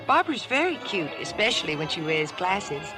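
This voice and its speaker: Poised young society woman, animated. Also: lowish voice, brisk. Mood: reassuring. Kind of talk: 1950s radio drama acting. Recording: old radio broadcast, background music.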